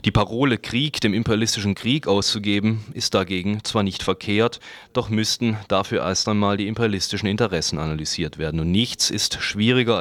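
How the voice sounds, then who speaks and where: A man's voice: lowish voice, no echo. A man reads a prepared political commentary. He works through long written sentences as a radio commentator, recorded close and dry with no room around him. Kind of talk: scripted political commentary, read aloud.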